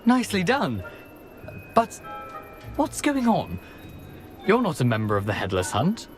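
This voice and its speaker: An actor playing a cheery, roguish decapitated ghost in a video game, with a British accent and a wry, theatrical, chatty manner. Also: male voice, on the high side, huge pitch swings, measured pace, faint music behind. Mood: dry, surprised. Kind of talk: video game character acting.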